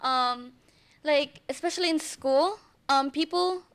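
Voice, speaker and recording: A woman's voice: sits high, measured pace, a bit bright. A young woman from the Philippines, a student, giving an informal personal account in a youthful way, acting out quotes. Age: young adult.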